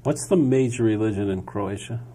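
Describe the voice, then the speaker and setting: A man's voice: lowish voice, moderate pace, mellow. A male talk show host asking short, direct questions in a television studio interview.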